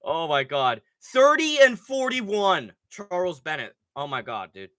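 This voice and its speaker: A man's voice: on the high side, measured pace, no echo. A young man hosting a casual livestream, with a stuffy nose from a cold, rambling and swearing as he talks through fight picks. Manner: profane, rambling, excitable. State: nasal from a cold. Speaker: livestream host.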